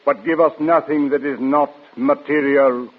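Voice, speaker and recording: An actor playing a grave Spanish Grand Inquisitor in an old radio drama, measured, priestly and authoritative. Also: male voice, mid-range voice, fairly even intonation, close to an everyday voice. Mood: commanding. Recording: muffled, narrow old radio recording.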